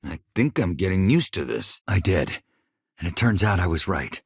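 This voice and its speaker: An actor playing a soft-spoken, earnest male engineer haunted by loss, polite and measured, in a naturalistic video game style. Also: sits low, very animated, vocal fry, slightly rough, no echo, studio quality, heavily acted. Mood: earnest, calm. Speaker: engineer and ship crew companion.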